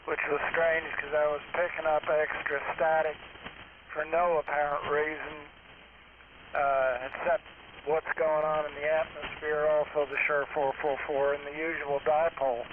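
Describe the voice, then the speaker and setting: A man's voice: fairly high pitch, level tone, moderate pace. A man checking in to an amateur radio net, speaking in a matter-of-fact way over the radio. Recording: noisy, narrow-band shortwave radio transmission.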